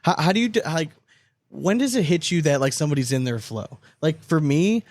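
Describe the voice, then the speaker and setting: A man's voice: a little high, expressive, fairly quick, darkish tone, no echo, clean studio sound. A man hosting a podcast in casual, slangy, sweary conversation. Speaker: podcast host. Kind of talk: casual chat interview.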